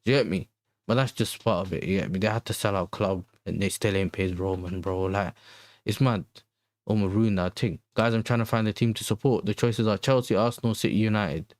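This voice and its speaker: A man with a London accent talks in a slightly low voice with a dry, close sound. He hosts a casual livestream, slangy and conversational, often circling back over his own phrases as he chats with viewers. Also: darkish tone, dry recording. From Netherlands. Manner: slangy, conversational, repeats himself. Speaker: livestream host and football fan.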